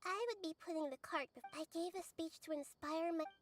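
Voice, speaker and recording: A woman voicing a small, childlike, wise goddess in a video game, speaking gently and earnestly. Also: extremely high pitch, steady tone, a little fast, a little hiss or hum. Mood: gentle, reflective. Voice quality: youthful, childlike. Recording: game music behind.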